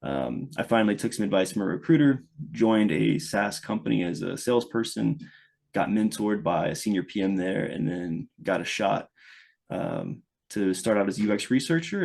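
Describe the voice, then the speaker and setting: Low-voiced man from Louisiana. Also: vocal fry, darkish tone. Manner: thoughtful, restarts often, speeds up when engaged. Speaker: guest, product manager. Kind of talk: conversational podcast interview.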